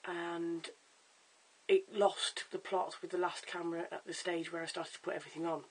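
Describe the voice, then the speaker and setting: A hoarse, croaky-voiced vlogger giving a casual at-home wig tutorial in a chatty, stop-start way, filmed on a camera's own mic. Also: mid-range voice, flat delivery, normal speed, low-quality recording. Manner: chatty, stop-start with fillers and self-corrections. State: hoarse, lost voice. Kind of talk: casual how-to demonstration.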